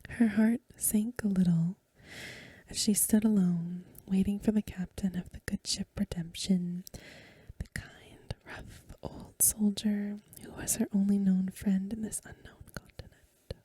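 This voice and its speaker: Female ASMR reader, very breathy and hushed, mellow dark tone, gentle and unhurried delivery. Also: mid-range voice, steady tone, no room sound. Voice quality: breathy, mostly whispered. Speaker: host of an ASMR channel, academic reader. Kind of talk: soft-spoken ASMR talk and story reading.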